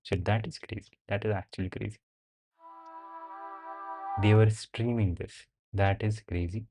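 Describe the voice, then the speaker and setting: A young man with a native Hindi background talks on a live stream in a slightly low voice. He thinks out loud and answers viewer questions in a loose, casual way, dropping the occasional swear. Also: steady tone, measured pace, slightly rough, soft background music.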